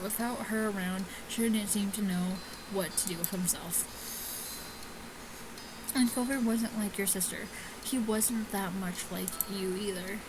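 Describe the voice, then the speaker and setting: A woman's voice: mid-range voice, noisy background. A woman reading a visual novel aloud casually, stumbling over the text and adding offhand comments. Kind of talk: casual let's-play read-aloud with commentary.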